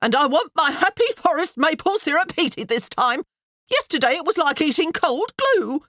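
Storybook shrew: high, loud, screechy, bossy wife with a short temper. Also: female voice, very animated, moderate pace, no room sound, studio quality. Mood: demanding, irritated. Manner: screeching, hollering, imperious. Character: loud, demanding, bad-tempered wife bellowing for waffles. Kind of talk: audiobook character voice.

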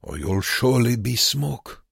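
A man's voice: mid-range voice, very animated, measured pace, vocal fry, fairly bright tone, breathy edge, no room sound, clean studio sound, pitched above the performer's own voice, heavily acted. A man voicing a bitter, goading concentration camp prisoner in an audiobook, taunting and urgent. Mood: pleading, hard. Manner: taunting, urgent, sometimes whispered. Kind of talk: audiobook character voice.

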